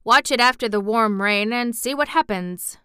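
A woman doing a gruff old gardener. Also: fairly high pitch, normal speed, bright, forceful tone, no room sound, studio quality. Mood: grumbling. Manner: gruff, grumbling, blunt. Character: grumpy old male Yorkshire gardener.

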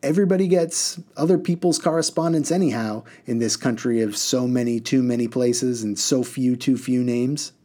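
A man's voice: medium pitch, moderate pace, few pauses, warm tone, natural voice. A man voicing a reckless, gleeful bus driver who bellows and rambles in sing-song riddles.

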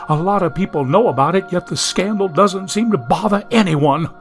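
A man's voice: high-pitched, moderate pace, faint music behind. A man reading an audiobook, voicing a genteel young woman from the 1870s who is anxious, prim and lost in the modern world. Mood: distressed. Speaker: audiobook narrator.

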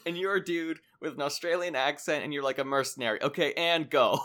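A podcast co-host in a casual film review chat, giggly and animated, saying "like" a lot. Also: mid-range voice, fairly quick.

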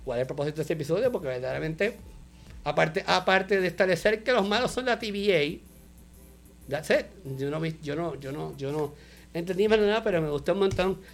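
A man, co-hosting a casual show review chat, animated and mixing in English words. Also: a little high, fairly quick, gravelly, faint music behind. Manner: animated, mixes English words, laughs often. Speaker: podcast co-host.

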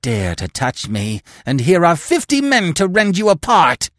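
A shrill, screeching hill warrior. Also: male voice, huge pitch swings, unhurried, a bit bright, no echo, clean studio sound, exaggerated voice. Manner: howling, screeching. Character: snarling, cowardly hill warrior, adult man.

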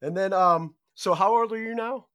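A man's voice: sits high, moderate pace, fairly bright tone, no echo, clean studio sound. A middle-aged man hosting a podcast, asking loose, rambling questions full of "you know" in a casual interview. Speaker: podcast host.

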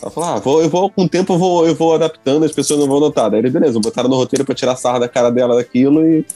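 Brazilian man, quick rambling podcast banter, mellow voice. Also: medium pitch, soft background music. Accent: Brazilian Portuguese. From Rio de Janeiro, Brazil. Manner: chatty, rambling, filler-heavy. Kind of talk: casual chat.

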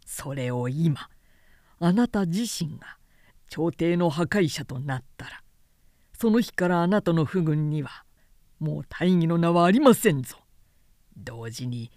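A woman's voice: low-pitched, expressive, a little slow, long pauses, dark tone, no echo, studio quality, pitched below the performer's own voice. A woman voicing an earnest male adviser who reasons formally and firmly with his lord in a historical audiobook. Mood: urgent, admonishing. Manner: formal, urgent, reasoning speech.

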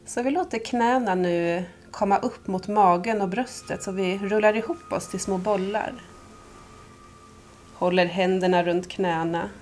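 A woman leading a guided yoga nidra meditation as instructor, calm, soothing and unhurried, with long pauses. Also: mid-range voice.

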